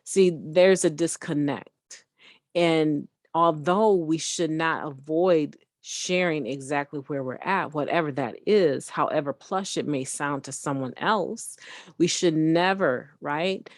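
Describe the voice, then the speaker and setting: A low, gravelly woman with a booming voice speaks slowly and earnestly in a dry, close sound. She reflects aloud as a recovery meditation host and often pauses to check in with "right?". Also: no echo. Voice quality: says she has a loud, booming voice. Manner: earnest, slow and deliberate, often adds "right?".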